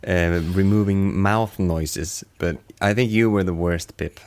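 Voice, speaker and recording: A man working as a sound designer, chatting casually and haltingly, often repeating his words. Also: sits low, darkish tone, slight background noise. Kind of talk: casual chat.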